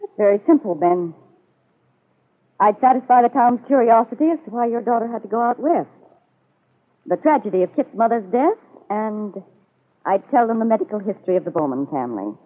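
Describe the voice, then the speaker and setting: Scheming, self-assured soap villainess, high lilting voice, cool insinuation, muffled vintage broadcast. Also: female voice, animated, a little fast, long pauses. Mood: coolly threatening. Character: confident, scheming middle-aged woman blackmailing her employer. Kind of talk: 1940s radio soap opera acting. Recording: muffled old radio broadcast.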